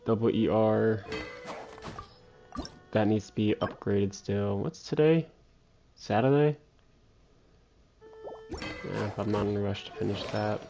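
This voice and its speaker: A man gives casual solo gameplay commentary in a dark, relaxed voice with noticeable fry. He muses aloud as if to himself, while game music plays faintly behind him. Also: on the low side, slow pace, pauses often. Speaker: video game livestreamer. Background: faint video game music.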